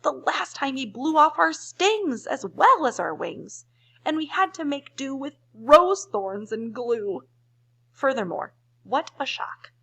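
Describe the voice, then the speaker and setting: A woman's voice: high-pitched, very animated, takes it slowly, vocal fry, sharp tone, pitched above the performer's own voice, exaggerated voice. A woman voicing an indignant, anxious bee in a storybook, fussy and emphatic as it pleads. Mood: indignant alarm.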